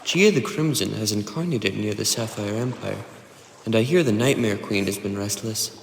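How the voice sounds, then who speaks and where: A man's voice: lowish voice, normal speed, gravelly. A man voicing an earnest, regretful god of a valley in a dramatic webcomic dub. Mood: grave, earnest. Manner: earnest, explanatory. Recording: roomy echo, faint noise.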